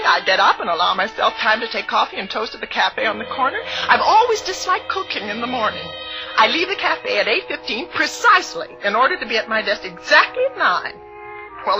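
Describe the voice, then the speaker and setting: A high, highly animated voice of a prim, anxious middle-aged spinster, indignant and easily rattled. It is 1940s radio melodrama, muffled and backed by music. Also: female voice. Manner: precise, indignant, fretful, breaking into cries. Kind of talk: 1940s radio suspense acting.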